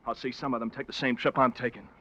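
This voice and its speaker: A man acting a desperate, guilt-racked American killer in an old radio crime play. His halting, anguished delivery sounds close to breaking, through a dull vintage recording. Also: mid-range voice, level tone, natural voice. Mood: bitter, desperate. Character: distraught confessed killer, desperate parolee turned informer. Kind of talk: 1950s radio drama acting.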